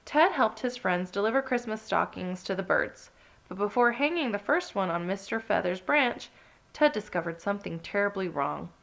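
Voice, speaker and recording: A woman narrating a children's read-along story with expressive, dramatic emphasis. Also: mid-range voice, moderate pace, gravelly.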